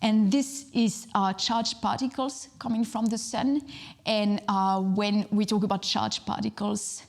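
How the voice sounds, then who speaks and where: A woman's voice: mid-range voice, fairly even intonation, unhurried, a little mellow. A young woman from southern France, a space physics researcher, giving a public science talk with many fillers and restarts.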